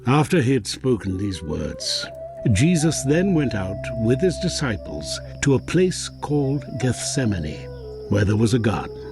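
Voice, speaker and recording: A man narrating a scripted audio drama in a solemn, deliberate way. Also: medium pitch, very animated. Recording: soft music behind.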